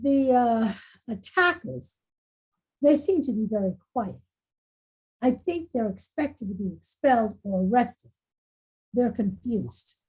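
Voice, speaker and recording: A woman playing a frustrated, bewildered school principal with halting delivery in a screenplay read-through. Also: a little high, lively intonation, a little mellow, exaggerated voice, low-quality recording. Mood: tentative, guarded. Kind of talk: screenplay table read acting. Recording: husky-sounding remote video call.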